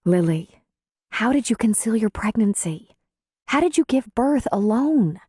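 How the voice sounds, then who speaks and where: Audiobook role: female narrator as caring midwife; soft mellow tone, level-headed, gently firm, dry studio sound. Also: a little high, lively intonation, a little slow, slightly rough, no room sound, voice pushed up. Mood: probing, concerned. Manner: gentle, concerned, earnest. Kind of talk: audiobook character dialogue.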